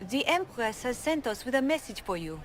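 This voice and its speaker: Prim, high-pitched lady-in-waiting. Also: sing-song, a little fast. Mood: prim, assured. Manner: formal, courtly. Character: prim, vain masked lady-in-waiting to an empress. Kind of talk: video game dramatic acting.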